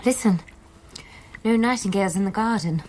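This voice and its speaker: A woman's voice: medium pitch, moderate pace, darkish tone, lightly acted. Wry, anxious woman for period radio drama, female actor: medium register, thoughtful, slightly noisy recording. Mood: wry, uneasy. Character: wry, uneasy woman guest in post-disaster period drama.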